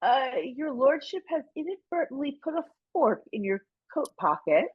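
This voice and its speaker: A woman's voice: high voice, big pitch swings, moderate pace, muffled recording. A woman playing an obsequious club steward in a radio comedy, with a careful, hesitant politeness. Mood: hesitant, tactful. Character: deferential, flattering club steward serving his lordship. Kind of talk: radio play comedy acting.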